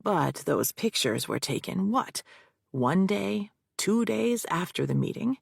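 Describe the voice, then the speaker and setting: A woman's voice: mid-range voice, big pitch swings, slow pace, gravelly, slightly rough, no room sound, clean studio sound, heavily acted. A woman voicing a male defense lawyer, firing off cold, pointed questions in a cross-examination. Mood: needling. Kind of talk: audiobook character reading.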